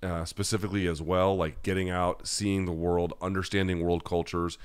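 A man's voice: sits low, flat delivery, normal speed, clear, resonant tone. An American man hosting a live-chat podcast, answering listener questions in a blunt, conversational, opinionated way. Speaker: podcast host.

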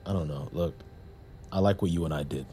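Deep-voiced, halting young army veteran. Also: male voice, background noise. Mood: urgent, vulnerable. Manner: halting, hesitant, confessional. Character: young army veteran, earnest, awkward, confessing buried shame and desire. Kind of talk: naturalistic audio drama acting.

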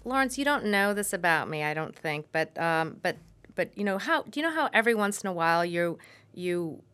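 A middle-aged American woman answering as an expert guest in an interview, explaining in a measured way and often correcting herself mid-sentence. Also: on the low side, animated, a bit bright, clean tone. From suburbs of Saint Louis, Missouri, USA. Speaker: urban planning expert, guest. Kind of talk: thoughtful spoken interview answers.

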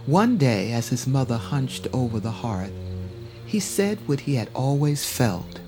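A man narrating a scripted dramatic story in a measured, literary manner. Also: medium pitch, big pitch swings, music in the background.

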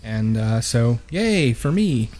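A man with a mid-pitched voice hosts a casual gaming podcast. He rambles in a self-deprecating way, speaking close to a boom-mounted microphone in his home studio. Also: sing-song, slow pace, darkish tone. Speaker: podcast host. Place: home studio with unpadded walls. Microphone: boom-mounted microphone close in front of mouth.

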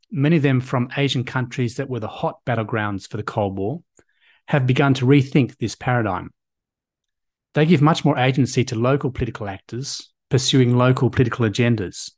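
A man hosting a podcast interview, reading a measured, formal introduction before questioning his guest. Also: medium pitch, darkish tone. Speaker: podcast co-host and history lecturer.

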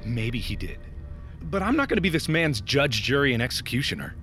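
A man's voice: mid-range voice, sing-song, brisk, a bit bright. An actor playing a principled, indignant man who argues for mercy in an audio drama. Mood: firm. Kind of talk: dramatic audio fiction acting. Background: music.

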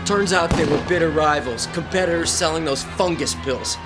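An actor playing a tense police officer during a shootout in a radio drama. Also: male voice, fairly high pitch, moderate pace, over loud music, natural voice. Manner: tense, alarmed. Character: alarmed male police officer.

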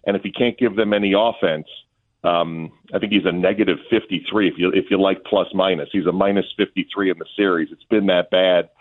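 Over a thin, phone-quality line comes a very low-pitched man. He is a sports announcer appearing as a radio guest, talking through his analysis conversationally, in a measured and discursive manner. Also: fairly even intonation.

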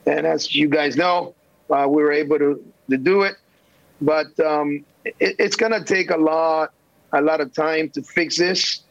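A middle-aged man from El Salvador, a national team coach, answering interview questions haltingly with many fillers and restarts. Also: a little high, steady tone, gravelly, no room sound. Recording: dull, dry remote line.

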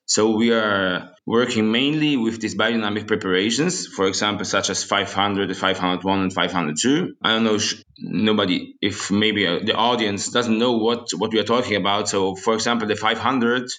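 A young man, raised in Switzerland and South Tyrol and speaking English as a second language, with a fairly low voice. He talks haltingly, often pausing with fillers while he looks for words. He speaks as an estate manager being interviewed. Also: fairly even intonation, fairly bright tone. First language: not English. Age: young adult. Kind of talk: interview guest.

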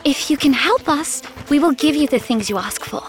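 A woman's voice: sits high, expressive, moderate pace, faint music behind. A woman playing a friendly, forgetful and slightly nervous villager in a fantasy audio drama, sometimes hesitant and apologetic. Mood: helpful.

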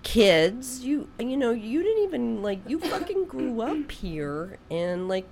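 A woman's voice: a little high, very animated, slow pace, background noise. A woman hosting a radio interview in a studio, chatty and rambling, often restarting her sentences. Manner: rambling, chatty, self-deprecating, with frequent restarts. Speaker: radio host. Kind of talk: casual interview chat.

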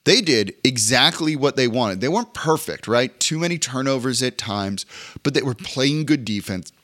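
A hoarse man hosting a solo sports podcast, running through a game recap with brisk, emphatic stat-heavy delivery while fighting off illness. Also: mid-range voice, expressive, fairly bright tone.

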